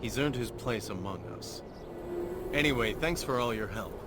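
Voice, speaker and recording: An actor playing a down-to-earth martial arts master and friendly ally in a video game, talking in an informal, practical way. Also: male voice, mid-range voice, lively intonation, moderate pace, loud music behind the voice, natural voice. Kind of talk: scripted video game dialogue. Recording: loud outdoor ambient noise behind. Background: loud ambient noise.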